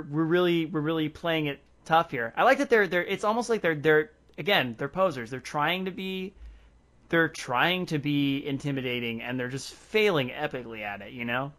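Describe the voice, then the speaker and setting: A man's voice: fairly high pitch, fairly bright tone. A man hosting a podcast, chatting casually and rambling with frequent laughs. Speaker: podcast host. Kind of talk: casual chat.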